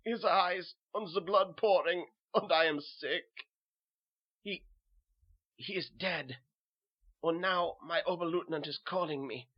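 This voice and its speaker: A man's voice: a little high, highs cut off, natural voice. A man playing a weary, haunted German soldier who narrates a horror tale in simple short sentences, with a German accent. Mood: panicked. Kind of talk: first-person horror audio narration.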